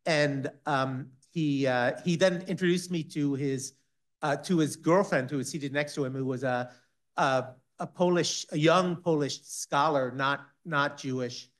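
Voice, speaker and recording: A man on a discussion panel, recounting a personal story in a halting way with many restarts. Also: fairly high pitch. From Israel-based.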